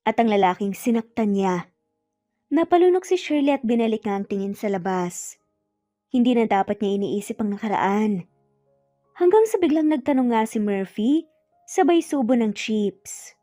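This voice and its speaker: A woman narrates a scripted dramatic story in a fairly high, mellow voice. The recording is dry and close, with faint music underneath. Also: animated, moderate pace, long pauses, dry recording.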